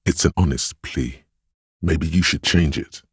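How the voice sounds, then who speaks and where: A man voicing a gentle, perceptive inner voice in a video game, narrating others' feelings in a measured, hushed, solemn and compassionate way. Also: rumbling low, breathy edge, no room sound, clean studio sound, exaggerated voice. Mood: gently perceptive. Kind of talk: scripted game narration, intimate inner monologue.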